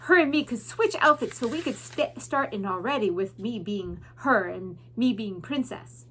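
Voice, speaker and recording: A woman's voice: fairly high pitch, lively intonation, normal speed, fairly bright tone, a little hiss or hum. A woman voicing a simple, stubborn young servant girl in a storybook, with blunt, plaintive, uneducated rustic speech. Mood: eager, naive.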